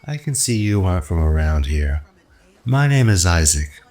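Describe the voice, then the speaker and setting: A man acts out a roguish fortune teller in a radio drama. His voice is on the low side, with sly, teasing, unruffled patter like a street salesman's. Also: very animated, normal speed, a little mellow, faint music behind. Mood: sly, friendly. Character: roguish, smooth-talking spaceport fortune teller.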